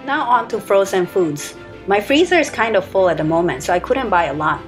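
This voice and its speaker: A woman in her thirties or forties reading a scripted voice-over, listing her grocery purchases in an even, matter-of-fact way. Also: medium pitch, brisk. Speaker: grocery haul vlogger. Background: soft background music.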